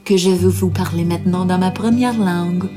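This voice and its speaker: A woman from Alberta with an Alberta farm French accent, reciting bilingual spoken word poetry in a slow, emphatic, defiant way. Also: on the low side. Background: guitar music.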